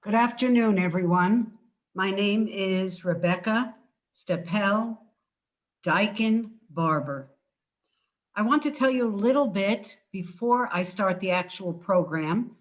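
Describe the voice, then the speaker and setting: A woman's voice: mid-range voice, lively intonation, measured pace. An older woman, an author, giving a prepared presentation as guest speaker.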